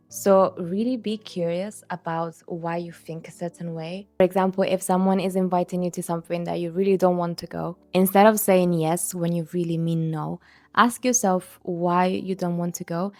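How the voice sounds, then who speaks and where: Woman, lowish voice, calm earnest self-help chat, soft music behind. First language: Italian. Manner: calm, earnest, speaks straight to the viewer. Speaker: video creator. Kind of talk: reflective self-help monologue.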